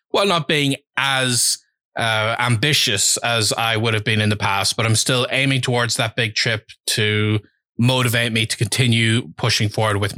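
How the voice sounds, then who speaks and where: A man talks through a personal update in a bright, forceful voice. He is candid and reflective and pauses often. The sound is close and dry, with no room around it. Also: mid-range voice, no room sound. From Ireland. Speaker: language-learning vlogger. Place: home.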